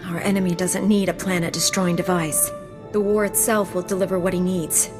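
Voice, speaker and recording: A woman playing a calm, resolute Jedi knight in a video game, speaking with earnest firmness. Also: fairly low pitch, brisk, close to an everyday voice. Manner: composed, earnest, firm. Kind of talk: scripted game dialogue. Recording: music behind the voice.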